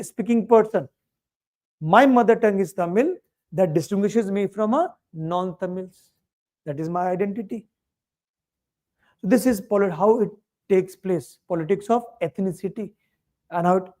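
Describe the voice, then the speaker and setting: A male teacher with an Indian English accent delivers a coaching lecture, his voice sitting high for a man. He throws rhetorical questions at the class and answers them with emphasis. His delivery is steady and explanatory.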